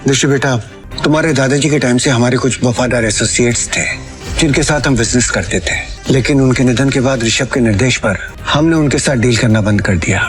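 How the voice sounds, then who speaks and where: An actor playing a loyal old family company adviser in an audio drama, deferential and measured. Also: male voice, mid-range voice, faint music behind, close to an everyday voice. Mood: respectful, cautious.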